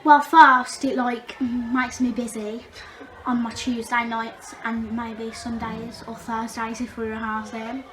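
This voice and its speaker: Female cast member, raised pitch, relaxed chat, noticeable background noise. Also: slow. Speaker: amateur pantomime cast member. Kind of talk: casual group interview chat. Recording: clear background noise, room ambience.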